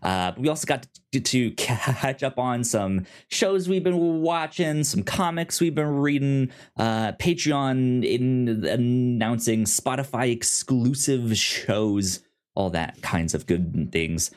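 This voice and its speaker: A man's voice: medium pitch, slow pace. A man hosting a podcast in a casual, chatty style, often stammering over sounds and words. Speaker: podcast host.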